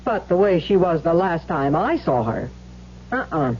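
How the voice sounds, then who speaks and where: A woman's voice: fairly low pitch, sing-song, unhurried, a little hiss or hum. A woman playing a gossipy, blunt suburban housewife in an old-time radio drama, chatty, exclamatory and scornful, on a muffled recording. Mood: emphatic, confiding.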